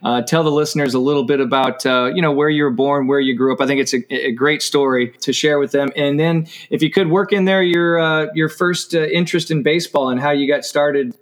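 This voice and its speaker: A man's voice: on the high side, a little fast, few pauses. A man hosting a podcast, asking questions with frequent fillers. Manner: friendly prompts with fillers. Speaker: podcast host. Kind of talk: casual interview.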